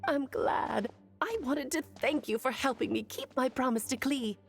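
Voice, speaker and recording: A woman voicing an ageless, cheerful and wise witch who speaks in a lilting, gently teasing way. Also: high-pitched, normal speed, faint music behind. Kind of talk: video game voice acting.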